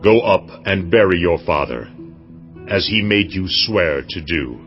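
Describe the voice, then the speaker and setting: A commanding Egyptian king in a scripture drama, with a deep voice. He is stately and authoritative, over music in slightly muffled audio. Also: lively intonation, a little slow. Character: Pharaoh, commanding ruler of ancient Egypt granting permission.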